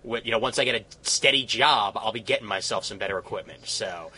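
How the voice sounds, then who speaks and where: A man's voice: on the low side, quick pace, pushed and bright, breathy edge. A young man hosting a casual podcast chat over a call, rambling with fillers and restarts. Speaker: college radio host. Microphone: Skype call mic.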